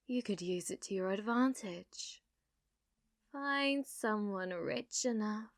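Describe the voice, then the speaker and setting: A woman playing a sadistic, haughty young noblewoman villainess in an ASMR roleplay, taunting theatrically and often dropping into breathy whispers. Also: medium pitch, no room sound, studio quality. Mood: sly, threatening.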